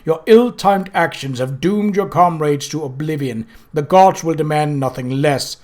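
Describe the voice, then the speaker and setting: Death, a man doing a slow stern voice. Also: a little high, lively intonation. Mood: cold anger. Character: Death, towering cold skeletal lord, angry.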